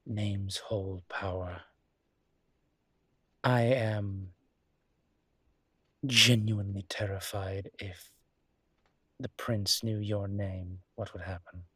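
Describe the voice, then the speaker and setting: A man voicing a refined, wary, ancient demon professor in an intimate audio roleplay, with husky, measured, halting, wry and formal delivery. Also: lowish voice, steady tone, fairly bright tone, no room sound, full character voice. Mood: wary, uneasy.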